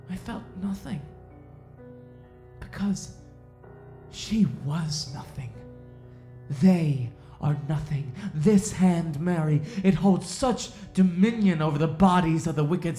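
A woman plays a cold, self-righteous Puritan sheriff in an audio drama, a man who preys on the weak. The voice is low and soft-edged, brooding through long pauses. It sounds in a roomy echo with music underneath. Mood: fervent, menacing. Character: menacing, self-righteous male Puritan sheriff, coldly predatory. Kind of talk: audio drama acting, slow dramatic monologue.

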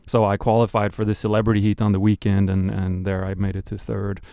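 A middle-aged man with a Swedish accent talks through his racing past in a relaxed, unhurried way, pausing and restarting as he thinks. His voice sits fairly low and sounds smooth and clear. The recording is close and dry, with no room sound. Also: no room sound. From Sweden. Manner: relaxed, thoughtful storytelling with many restarts. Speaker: racing driver guest. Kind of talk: casual podcast chat.

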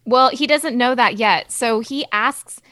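A woman's voice: on the high side, measured pace, fairly bright tone, no room sound, studio quality. A woman hosting a casual film discussion, speaking in an informal, conversational way. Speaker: podcast host.

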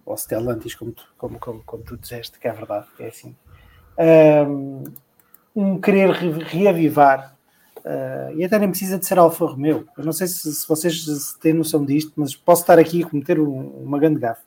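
A man's voice: on the high side, normal speed, vocal fry, dark tone, husky. A man co-hosting a casual podcast, rambling and thinking aloud with many repeats. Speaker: podcast co-host. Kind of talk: casual podcast chat about motor racing.

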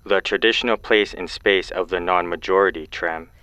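Ominous mystery caller: low, resonant, measured and stern, sci-fi audio drama. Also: male voice, fairly even intonation, pushed and bright, smooth, dry recording. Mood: cryptic, solemn. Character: cryptic, solemn mysterious caller with ominous warnings.

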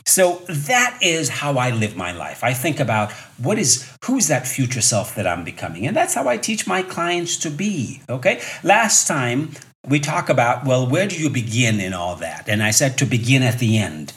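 A man's voice: medium pitch, big pitch swings, moderate pace, a bit bright. A man in his fifties hosting a solo motivational podcast as a fitness coach, talking conversationally and emphatically with rhetorical questions and repeated key points. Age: 50s.

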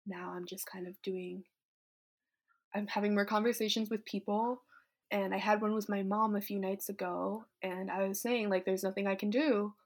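A woman's voice: medium pitch, level tone, moderate pace. A fifteen-year-old young woman from Vietnam reflects casually and candidly on her life, thoughtful, often dropping in "like" and "you know". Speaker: teenage climate activist.

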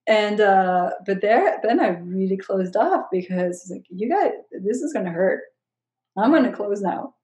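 A woman from Switzerland and the Netherlands speaks as a podcast guest with a mellow, warm tone. She sounds reflective and soft-spoken. The room gives her voice a clear echo. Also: medium pitch, lively intonation, unhurried. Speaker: guest, coach and educator. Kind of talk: casual conversational interview. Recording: roomy echo.

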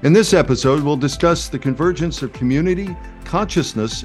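An older man hosting a podcast, reading a scripted intro and then chatting loosely with a guest, with some hesitations. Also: mid-range voice. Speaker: podcast host.

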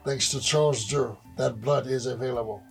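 A man with a gravelly voice reading scripted biographical narration. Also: medium pitch, fairly even intonation, moderate pace, faint music behind.

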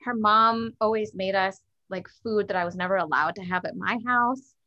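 An eager woman co-hosts a podcast, chatting warmly and laughing often. Her voice is bright and forceful, with slightly muffled, lo-fi sound. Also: mid-range voice, normal speed. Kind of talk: casual podcast chat.

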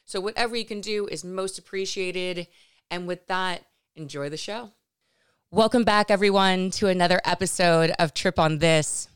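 A woman's voice: lowish voice, clear, resonant tone, no room sound, studio quality. A woman from California hosting a podcast interview, chatty and upbeat with frequent restarts. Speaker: podcast host.

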